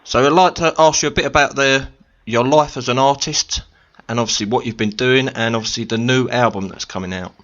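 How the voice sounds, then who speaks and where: A man hosts a radio interview in an easygoing, informal way, often tripping over his questions and filling the gaps. His voice sits at a middle pitch. The sound is slightly dull and lo-fi. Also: a little fast, a bit bright. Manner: informal, stumbling questions with fillers. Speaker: radio show host.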